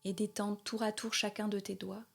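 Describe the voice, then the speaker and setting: A woman leading a guided meditation as a yoga nidra guide, gentle and soothing, speaking in short phrases with long pauses. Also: mid-range voice, little pitch movement, vocal fry, slightly rough.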